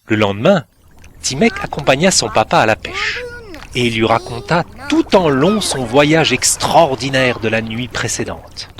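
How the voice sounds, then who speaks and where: A man narrating a children's story in a gentle, expressive way. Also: medium pitch, measured pace.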